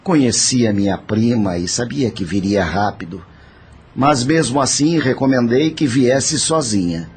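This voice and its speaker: An actor with a Brazilian accent playing a dead, greedy, scheming nephew who confesses in a matter-of-fact, calculating way in an audio drama. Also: male voice, mid-range voice, a little slow, a little mellow, lightly acted. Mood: coldly confessing.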